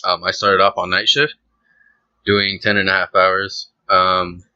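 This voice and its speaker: A man's voice: low-pitched, flat delivery, unhurried, sharp tone, clean tone, no room sound, highs cut off. A young man co-hosting a casual podcast, talking in a laid-back, joking, rambling way with fillers. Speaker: podcast co-host.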